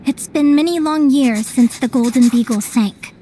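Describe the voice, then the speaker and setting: A woman playing a sweet, wistful young woman in a video game, gentle and hesitant in her delivery. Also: high-pitched, moderate pace, slight background noise, close to an everyday voice. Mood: wistful, weary. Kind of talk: video game story voice acting.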